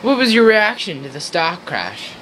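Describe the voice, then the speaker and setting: An earnest old-time radio interview host played by a young man. His voice is fairly high, reading scripted questions in an amateur skit with faint noise behind. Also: big pitch swings, normal speed, fairly bright tone. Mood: formal. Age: young adult.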